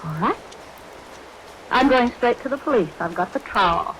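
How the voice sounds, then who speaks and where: A woman's voice: on the high side, expressive, moderate pace, a bit bright, poor audio. An actress playing a cold, scheming, commanding woman who speaks in clipped, insistent questions, in vintage radio thriller style. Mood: cold, accusing. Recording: vintage radio recording, hiss, rain behind.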